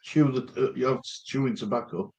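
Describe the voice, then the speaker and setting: A man's voice: medium pitch, a little fast, a little mellow. A man chatting casually as a panellist on a live stream. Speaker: watchalong panellist.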